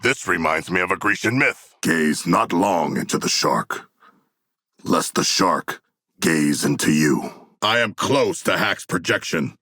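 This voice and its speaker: An actor playing a hulking, erudite and bloodthirsty humanoid shark warrior in a video game, with a gruff voice and stilted, formal speech. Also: male voice, sits low, lively intonation, measured pace, bright, forceful tone, no echo, clean studio sound, exaggerated voice. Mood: solemn, formal. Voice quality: gravelly, gruff. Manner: stilted, pompous, archaic formal diction. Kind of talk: video game character voice lines.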